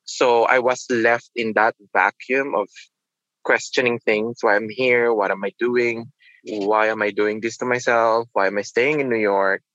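Bright-voiced man, reflective. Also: medium pitch, fairly even intonation, no room sound, dull audio. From Philippines. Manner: reflective, halting, with restarts. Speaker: nurse guest. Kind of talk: casual interview.

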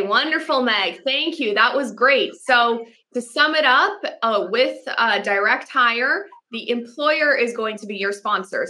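A woman's voice: on the high side, pushed and bright. A woman hosting an online panel, upbeat as she introduces and thanks each guest. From immigrant to the US. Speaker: host. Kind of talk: webinar panel moderation.

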